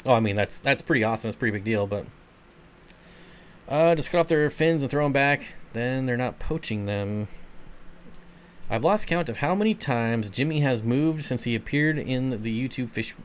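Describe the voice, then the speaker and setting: A man from Michigan hosts a casual live chat, drifting from comment to comment in a laid-back, rambling way. His voice is smooth and clear and sits at an ordinary male pitch. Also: animated, moderate pace. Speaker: channel host. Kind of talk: casual livestream chat, answering viewer comments.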